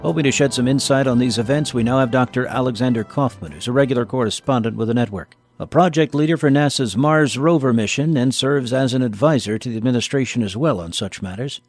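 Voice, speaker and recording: A man's voice: medium pitch, fast talker, hardly any pauses, darkish tone, faint music behind, natural voice. An actor playing an earnest television news anchor in a radio drama, polished, grave and insistent as he interviews. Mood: grave, businesslike. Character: earnest male TV news anchor amid a crisis.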